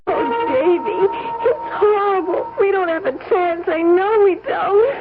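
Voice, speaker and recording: A woman's voice: extremely high pitch, lively intonation, a little slow, over loud music. An American woman playing a naive, devoted young bride in an old-time radio drama, pleading in a tremulous, anxious way. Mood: distraught pleading. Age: young adult. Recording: tinny, noisy old radio broadcast.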